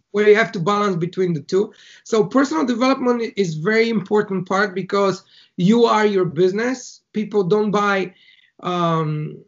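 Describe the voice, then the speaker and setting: A man's voice: high-pitched, gravelly, muffled recording. A man from Bulgaria with a Bulgarian accent, a guest in an interview who talks through his ideas with analogies, in short phrases with small restarts. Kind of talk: casual conversational interview.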